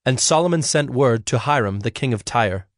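A man narrating scripture from a script in a measured, formal way. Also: mid-range voice, expressive, soft background music.

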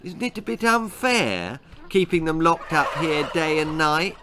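A man's voice: high voice, big pitch swings, slow pace, background noise, voice pushed up, full character voice. A man voicing a naive, apologetic boy in a storybook, protesting in a hesitant manner. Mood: worried, protesting. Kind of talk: storybook character voice.

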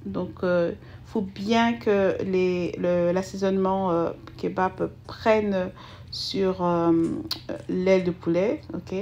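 A woman's voice: fairly low pitch, steady tone, slow, darkish tone, muffled recording, a little hiss or hum. A woman, hosting a home cooking video as she talks viewers through a recipe in a chatty, hesitant way with many fillers. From Belgium. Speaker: cooking channel host. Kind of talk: casual cooking voice-over.

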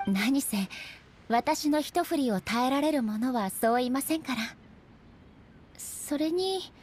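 A gentle, earnest warrior deity from a Japanese game. She has a high voice with soft, courteous phrasing that sometimes turns breathy. The delivery is polite and sincere. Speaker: video game voice actress. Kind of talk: Japanese game character voice acting.

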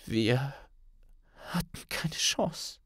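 A man's voice: mid-range voice, lively intonation, drawn-out words, a bit bright, slightly rough, no room sound, clean studio sound, voice pushed up, full character voice. Man playing an intense, agitated young wizard. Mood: weak, bitter. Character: injured, agitated young wizard, bitter and impatient.

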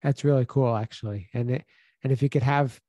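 Halting, mellow-voiced man asking questions. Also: medium pitch, no room sound, dull audio. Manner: halting, rambling questions with many restarts. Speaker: podcast co-host. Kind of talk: casual conversational podcast. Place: home office on a video call. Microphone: boom podcast mic close to mouth.

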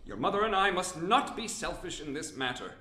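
A man's voice: high-pitched, very animated, a little fast, sharp tone, reverberant, full character voice. An actor playing a formal, pompous aristocratic father who speaks in archaic phrasing. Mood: musing. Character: pompous old baron father, grandly fond. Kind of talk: amateur radio drama acting.

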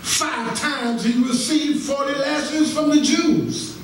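An American man preaching a Baptist sermon as a minister, with an emphatic delivery that moves between shouting and half-singing and leans on repeated refrains. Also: very high voice, steady tone, takes it slowly. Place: large church sanctuary, at the pulpit. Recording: echoey church hall from far off, congregation noise.

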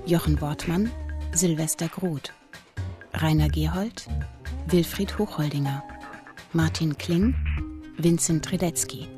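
A woman announces radio play credits in a scripted read. Her pace is slow, her voice slightly low, and music plays clearly behind her.

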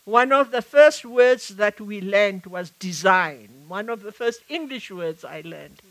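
An older woman with a South African accent, speaking reflectively at a live stage conversation, often pausing and restarting her sentences. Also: medium pitch, lively intonation. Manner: reflective, halting with many restarts. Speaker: author and activist. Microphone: headset mic.

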